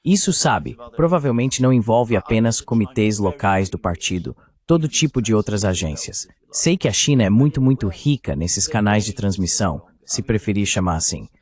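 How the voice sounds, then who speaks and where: A man speaking in a measured, explanatory way, as in a dubbed political discussion. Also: fairly low pitch, expressive, darkish tone. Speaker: AI voice reading a translated political commentator.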